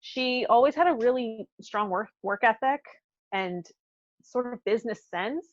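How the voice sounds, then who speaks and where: A woman co-hosting a podcast, chatting casually in a measured, agreeable way. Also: fairly high pitch, no echo, muffled recording. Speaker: podcast co-host. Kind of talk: casual conversational chat.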